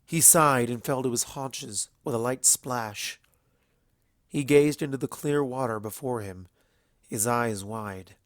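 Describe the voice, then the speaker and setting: A man's voice: medium pitch, slow, no echo. A man reading scripted fantasy fiction aloud as the narrator.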